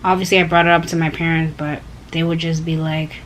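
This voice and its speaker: Young girl rambling to her vlog viewers, lowish voice, chatty. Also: flat delivery, fairly quick, slight background noise, low-quality recording. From Canada. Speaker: vlogger. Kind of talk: casual personal vlog talk.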